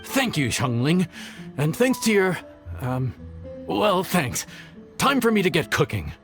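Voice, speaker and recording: Yanxiao, a nervous young chef. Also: male voice, high voice, sing-song, measured pace, soft background music, heavily acted. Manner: hesitant, stammering. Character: young male chef, nervous but earnest. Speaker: chef, contestant.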